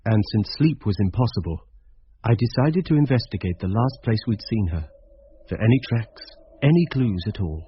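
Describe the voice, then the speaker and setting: An actor playing an earnest, rational amateur sleuth, thinking through his investigation in measured, dramatic radio drama style. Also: fairly low pitch, lively intonation, close to an everyday voice. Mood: brooding. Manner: measured, reflective, talking things through to himself. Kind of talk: dramatic first-person radio drama narration and musing. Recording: muffled radio drama recording with background noise.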